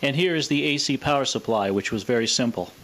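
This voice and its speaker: A man presenting scripted technical narration in a measured, matter-of-fact manner. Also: mid-range voice, a bit bright, a little hiss or hum. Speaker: presenter of a vintage radio demonstration.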